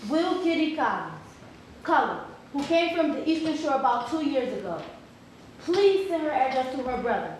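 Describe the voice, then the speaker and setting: A woman's voice: high voice, big pitch swings, moderate pace. A woman giving a dramatic reading as an earnest seeker appealing for a missing relative. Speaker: stage reader. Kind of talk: dramatic reading of newspaper notices. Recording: very echoey hall, faint noise.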